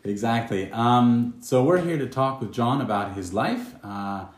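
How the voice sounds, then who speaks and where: A man hosting a podcast interview in a relaxed, hesitant way, with plenty of fillers. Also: mid-range voice, unhurried, roomy sound. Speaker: podcast host.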